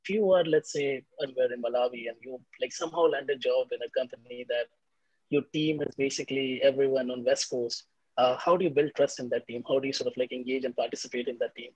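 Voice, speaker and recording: A man's voice: medium pitch, steady tone, a little mellow, husky, no room sound, highs cut off. A man speaking as an investor on a panel, with many fillers. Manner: fast, filler-heavy. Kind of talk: panel discussion.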